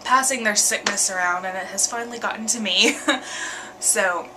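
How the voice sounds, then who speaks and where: A young woman, talking candidly in a home vlog, earnest and full of ums and pauses. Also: mid-range voice, background noise. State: congested with a cold, sniffly. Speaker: vlogger. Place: bedroom at night.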